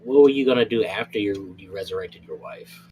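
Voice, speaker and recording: A man in a tabletop roleplay, improvising questions in a halting, placating way. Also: lowish voice, a crowd in the background, rough recording.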